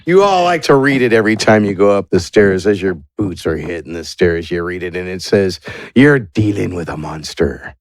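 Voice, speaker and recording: A man's voice: deep voice, a little fast, few pauses, clean tone, no room sound, clean studio sound. A man narrating a tabletop game as game master in a casual, descriptive, conversational way.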